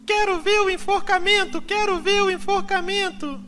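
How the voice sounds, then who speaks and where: A man voicing a ferocious, demanding little child who shouts insistently in an audiobook. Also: steady tone, moderate pace. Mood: demanding, excited. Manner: shrill, insistent chanting and shouting.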